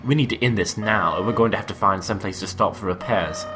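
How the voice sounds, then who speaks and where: A man's voice: on the low side, fast talker, lightly acted. A man playing an analytical, skeptical first officer of a starship in a fan audio drama, with music behind him. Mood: focused, explanatory.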